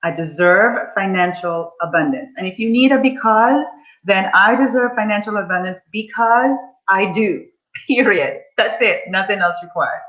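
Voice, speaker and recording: A woman's voice: medium pitch, normal speed, a bit bright, muffled recording. A woman in her forties, a money mindset coach, leading a live online coaching session and speaking to her audience in an upbeat, encouraging way. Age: 40s.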